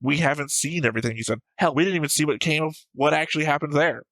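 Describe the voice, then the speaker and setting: A man's voice: on the high side, quick pace, a bit bright, dry recording. A man who hosts a reaction show, talking casually and discursively about a series, rambling and swearing freely.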